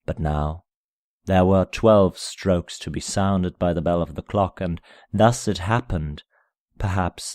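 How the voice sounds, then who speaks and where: A man reading a gothic horror story aloud in a measured, solemn, theatrical manner, at times dropping to a hush. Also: sits low, no room sound, clean studio sound. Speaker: amateur reader of a short story. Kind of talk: dramatic scripted narration of a gothic horror tale.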